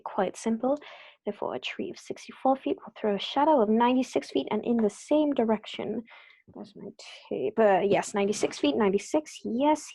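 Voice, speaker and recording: A woman playing a calm, clever male detective in an old-style radio play, measured and precise as she works things out aloud. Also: fairly high pitch, low-quality recording. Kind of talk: 1930s radio play acting.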